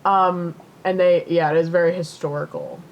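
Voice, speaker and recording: A woman's voice: fairly low pitch, fairly even intonation, normal speed, highs cut off. A woman co-hosting a podcast in casual, unscripted chat, with a playful, hedging manner. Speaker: podcast co-host.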